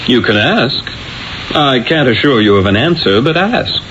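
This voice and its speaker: An actor playing a proud, imperious Renaissance genius in a theatrical, self-assured 1970s radio drama manner. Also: male voice, medium pitch, big pitch swings, normal speed, a bit bright. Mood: haughty, amused. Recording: dull vintage radio broadcast with music.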